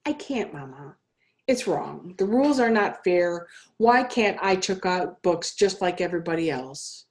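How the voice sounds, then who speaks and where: A woman's voice: fairly low pitch, expressive, a little slow, a little mellow. A woman voicing an earnest, polite and determined young boy in a storybook. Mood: earnest protest. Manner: earnest, polite, plain-spoken.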